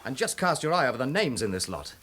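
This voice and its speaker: A police sergeant in an audio drama, rattles along quick, wry and colloquial but respectful. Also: male voice, mid-range voice, sing-song, a bit bright, slight background noise. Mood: brisk, reporting. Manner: brisk, deferential, colloquial. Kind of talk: radio drama acting.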